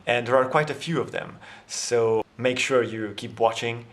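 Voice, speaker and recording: A man's voice: mid-range voice, moderate pace, a bit bright. A man in a language vlog, explaining grammar in a casual, thinking-aloud way with many fillers and restarts; his first language is Italian. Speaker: language vlogger. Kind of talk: casual language lesson to camera.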